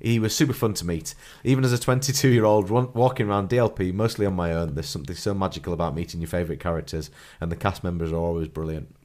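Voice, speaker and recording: A man's voice: sits low, fast, hardly any pauses, a little mellow. Stuffy-nosed man reading aloud. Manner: chuckles often, clears throat. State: congested, stuffy nose from a cold. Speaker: podcast host. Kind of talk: reading a listener's trip report aloud, casual chat.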